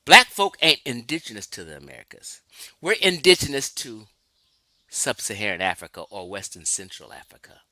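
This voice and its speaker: A man's voice: lowish voice, big pitch swings, moderate pace, sharp tone, no echo. A man from Los Angeles giving an emphatic, lecturing livestream talk, answering his chat and laughing often. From Los Angeles, California. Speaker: livestream host.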